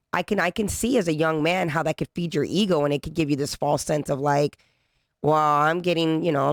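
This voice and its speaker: A woman hosting a podcast interview, pressing her guest with repeated follow-up questions and rephrasing to dig deeper. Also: dry recording, clean studio sound. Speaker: podcast host.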